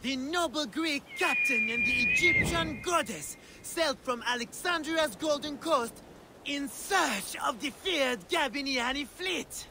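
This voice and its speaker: A man playing a theatrical storyteller of heroic legends, narrating in a grandiose, deliberate way for a game. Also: extremely high pitch, lively intonation, noisy background, full character voice. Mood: grand, dramatic. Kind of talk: scripted dramatic narration.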